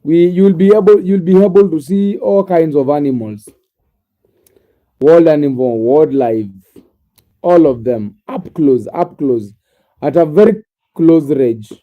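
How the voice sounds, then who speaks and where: A man's voice: fairly high pitch, measured pace, narrow, tinny audio. A Kenyan man casually chatting with viewers on a live stream from his sitting room, sounding drowsy and repeating himself often. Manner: repetitive, drowsy, talks directly to viewers by name.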